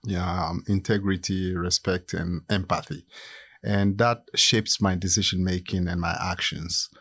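A man from Ghana talking about his career in a relaxed interview, measured and pausing with many fillers. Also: sits low, slightly rough, no room sound. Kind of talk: casual interview chat.

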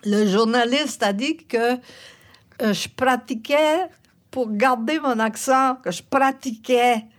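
An older woman speaks with a strong Acadian French accent, her voice a bit higher than most. She tells stories with gusto and laughs often as an interview guest. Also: slow. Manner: lively storyteller, laughs often. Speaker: singer-songwriter guest.

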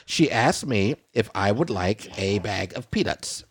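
A male podcast host in casual comedic banter, ranting with big theatrical swings of pitch. He leans on catchphrases like "okay?" and "I digress." The sound is close and dry. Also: expressive, a little slow, husky, no echo.

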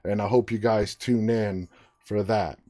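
A man's voice: lowish voice, steady tone, unhurried, warm tone. A man from New York with a New York accent, hosting a casual live show and chatting as he reads viewer comments. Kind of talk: casual live-stream chat.

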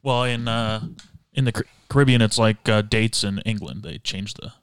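A man's voice: on the low side, fairly even intonation, normal speed, clear, resonant tone, dry recording. A man co-hosting a podcast, joking and riffing in casual banter with a friend. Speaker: podcast co-host.

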